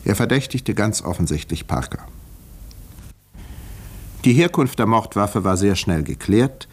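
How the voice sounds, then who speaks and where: An actor playing a measured, wry village doctor who narrates a murder mystery in a 1950s radio play. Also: male voice, fairly low pitch, animated, a little hiss or hum. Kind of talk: scripted first-person narration, 1950s radio acting.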